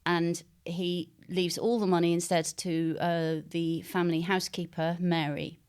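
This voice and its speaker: A woman with a smooth, clear voice that sits a little low. She talks as an interviewed author, explaining things reflectively and pausing as she shapes her phrases. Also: flat delivery, darkish tone. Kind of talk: interview talk about own writing.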